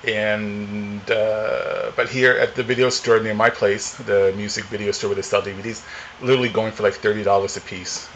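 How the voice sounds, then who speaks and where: A man's voice: on the low side, flat delivery, moderate pace, a bit bright, slight background noise. Rambling man in his forties. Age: 40s. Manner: rambling, many fillers and restarts. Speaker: vlogger. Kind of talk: casual unboxing chat. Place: home living room, indoors.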